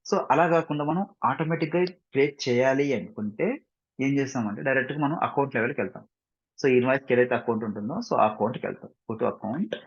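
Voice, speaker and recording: Man, Indian accent, rapid speech, informal tutorial instructor, step-by-step, English phrases mixed in, frequent check questions, muffled lo-fi mic. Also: mid-range voice, breathy edge. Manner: repeatedly checks understanding with short questions; mixes English phrases into his explanations. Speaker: software instructor. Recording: dull, muffled lo-fi microphone.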